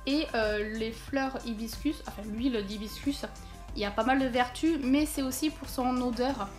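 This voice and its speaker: High-voiced chatty woman unboxing. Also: normal speed, faint music behind. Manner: chatty, thinking aloud with many fillers. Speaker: vlogger unboxing a package. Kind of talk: casual unboxing chat.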